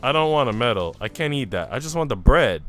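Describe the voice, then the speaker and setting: A man's voice: mid-range voice, very animated, a little fast, a bit bright, faint music behind. Man voicing a blunt, bossy little girl. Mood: eager. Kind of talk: visual novel character read aloud.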